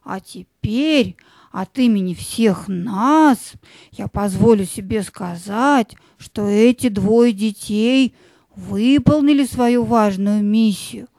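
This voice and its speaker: Casting: actress as pompous bread spirit, audio play; very unhurried, mellow, solemn and loyal. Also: female voice, mid-range voice, gravelly, no echo. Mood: solemn, ceremonious. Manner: pompous, ceremonious.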